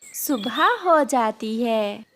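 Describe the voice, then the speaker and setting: A woman's voice: a little high, very slow. A woman narrating a scripted cartoon story as its storyteller.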